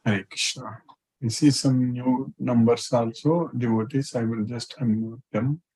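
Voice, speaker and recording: A man with a husky voice at an average pitch, acting as a spiritual teacher. He greets his online students one after another, repeating short phrases as he runs a live question session. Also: steady tone, a little slow, a little mellow, rough recording.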